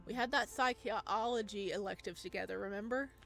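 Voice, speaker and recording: A woman's voice: medium pitch, brisk, gravelly, fairly bright tone, soft background music. Woman reading as a confident, friendly young man. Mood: friendly surprise. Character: confident, friendly, popular man greeting an old classmate.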